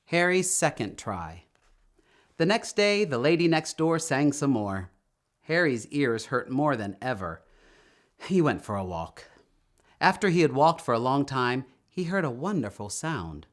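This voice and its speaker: Guy reading a kids book out loud, really lively up and down voice, stretches words for effect. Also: medium pitch. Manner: expressive, emphatic storytelling with drawn-out words. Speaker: storytime reader. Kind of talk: read-aloud children's story narration.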